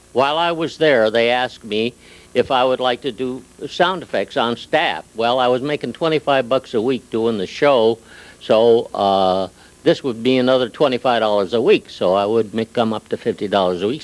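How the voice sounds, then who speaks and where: An older man reminiscing in an interview, with a halting, unhurried manner. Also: mid-range voice, low-quality recording. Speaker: retired radio sound effects artist.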